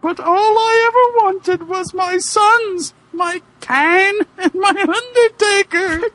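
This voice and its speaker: Shrill, slow, whiny old man. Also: pushed and bright, no echo, full character voice. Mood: remorseful whining. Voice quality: shrill falsetto. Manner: slow, drawn-out, melodramatic. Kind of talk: mock-dramatic fan fiction reading.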